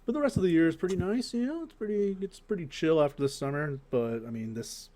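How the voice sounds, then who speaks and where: A man's voice: on the high side, fast talker. American man chatting casually, profane and joking. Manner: profane, joking, animated storytelling. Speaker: podcast co-host. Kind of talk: casual group chat.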